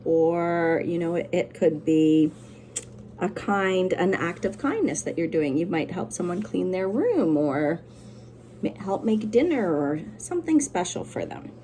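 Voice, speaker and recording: A woman's voice: fairly low pitch, lively intonation, unhurried, dark tone, a little hiss or hum. A woman teacher doing a children's read-aloud, with simple, encouraging explanations for young listeners.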